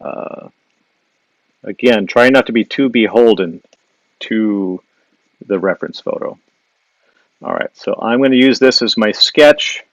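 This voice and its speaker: Low-voiced Wisconsin man sketching live. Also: a little slow, pauses often, dry recording, dull audio. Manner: thinking aloud while sketching, with frequent fillers and restarts. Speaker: illustrator giving a live drawing demo. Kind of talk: casual live-stream drawing demo, reading viewer questions. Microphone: device mic on a live social video stream.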